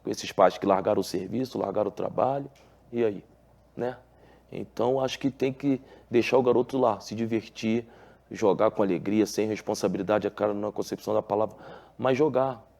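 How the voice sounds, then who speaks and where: A low, gravelly man with a Carioca accent talks earnestly about his past. His voice is dark and mellow, and his speech is full of small restarts and filler tags. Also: a little fast. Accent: Carioca Portuguese. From Rio de Janeiro, Brazil. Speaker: former footballer, guest. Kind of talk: relaxed TV interview.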